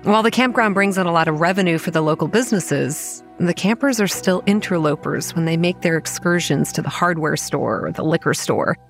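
An actress playing a wry, weary young campground manager who narrates a horror audio drama in a measured, dry way. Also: female voice, lowish voice, animated. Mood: wry, brooding. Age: young adult. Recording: music under the voice.

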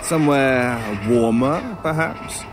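An actor voicing a knowing, teasing crow in an audio drama, with a dry, sardonic manner. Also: male voice, medium pitch, slow pace, noisy background, close to an everyday voice. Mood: wry, teasing. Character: wry, unhurried male crow, teasing and knowing.

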